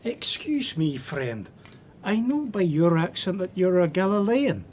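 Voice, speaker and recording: A woman's voice: on the low side, big pitch swings, unhurried, darkish tone, slight background noise. A woman playing a polite, earnest stranger in a radio drama, speaking in a courteous, dry way. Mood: polite, eager.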